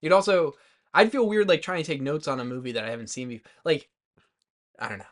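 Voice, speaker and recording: A man's voice: fairly high pitch, big pitch swings, fast. A man in his twenties co-hosting a movie podcast, talking informally and a little tentatively over drinks. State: drinking alcohol. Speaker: podcast co-host. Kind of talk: casual movie discussion.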